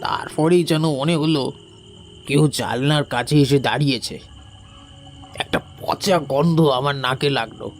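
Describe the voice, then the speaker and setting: Man playing a higher-voiced rustic village sawmill worker. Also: a little slow, faint music behind, natural voice. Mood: uneasy, recounting. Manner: hesitant, colloquial recounting with small interjections. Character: rustic male sawmill worker, uneasy but bold witness. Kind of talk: Bengali horror audio drama acting.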